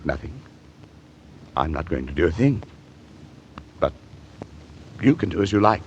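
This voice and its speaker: An actor playing a self-absorbed, secretive married poet in an old radio drama, measured and evasive. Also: male voice, low voice, very animated, muffled recording. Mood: cool, probing. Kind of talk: mid-century American radio drama acting. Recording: old radio recording, faint noise.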